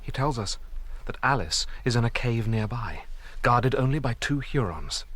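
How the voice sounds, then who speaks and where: Army officer, mid-range voice, lively intonation, urgent, earnest, radio drama. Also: male voice, medium pitch, moderate pace, dry recording. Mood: urgent narration. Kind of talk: radio drama acting and first-person narration.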